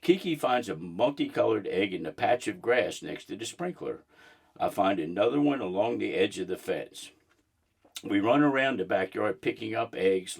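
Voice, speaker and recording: A man reading a children's book aloud in an expressive storybook narration style. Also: low voice, vocal fry, slightly rough.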